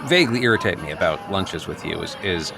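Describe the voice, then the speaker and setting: A man playing an earnest, neurotic, self-absorbed friend in an improvised radio comedy, halting and over-explaining. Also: low voice, lively intonation, a crowd in the background. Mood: defensive, earnest. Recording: clearly noisy background ambience.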